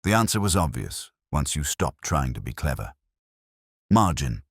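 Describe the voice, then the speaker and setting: A man playing a melancholy, reflective police inspector, narrating an audio drama in slow, measured, solemn literary prose with deliberate pauses. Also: extremely low pitch, big pitch swings, a bit bright, slightly rough, no echo, studio quality, heavily acted. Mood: wistful. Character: melancholy, reflective male police detective inspector telling his story.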